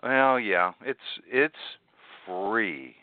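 A dry, phone-like recording carries a man's voice with very animated intonation. He talks informally as a podcast co-host, sharing practical tips in conversation. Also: on the low side, very slow, no room sound. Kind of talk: casual tech tips chat. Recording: dry, phone-like narrow-band audio.

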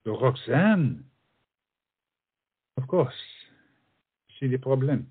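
Cyrano, a man playing a husky theatrical mentor. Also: medium pitch, big pitch swings, slow pace, no echo, narrow, tinny audio, exaggerated voice. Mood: scheming, confident. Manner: flowery, theatrical, coaxing speech. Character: Cyrano, witty theatrical French friend and mentor. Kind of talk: stage comedy acting.

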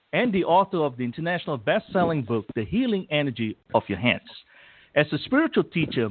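A man's voice: on the high side, breathy edge, dry recording. Male talk radio host, formal and bouncy, sounds like over the phone. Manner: formal, warmly measured delivery. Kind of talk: scripted introduction and interview. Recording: tinny phone line.

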